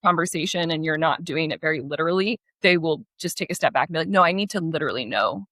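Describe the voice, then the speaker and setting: A woman's voice: low voice, quick pace, sharp tone, dry recording, clean studio sound. An American woman in her thirties, a guest author chatting animatedly and laughing between points. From United States. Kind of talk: casual interview chat. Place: her home in Portugal.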